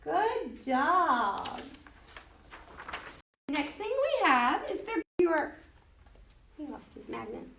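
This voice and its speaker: A woman's voice: high-pitched, very animated, extremely slow, vocal fry, long pauses, fairly bright tone, a little hiss or hum. A woman leading a children's storytime, giving simple singsong instructions to young children. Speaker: children's library storytime host. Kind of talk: children's singalong and rhymes with instructions. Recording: roomy echo, distant microphone.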